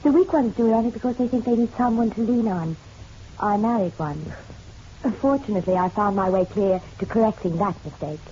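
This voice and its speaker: A woman's voice: medium pitch, brisk, mellow, natural voice. Lady playing posh bitter mom in a noir radio play, poised, kinda muffled recording w faint noise. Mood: cynical. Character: genteel, proud, bitter middle-aged divorced mother. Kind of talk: noir radio drama acting. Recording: dull, slightly noisy radio-drama recording.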